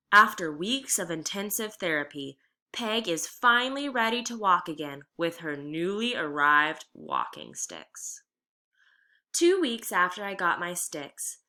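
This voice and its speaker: A woman teacher giving a read-aloud lesson, explaining deliberately and reading expressively. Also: on the low side, bright, forceful tone.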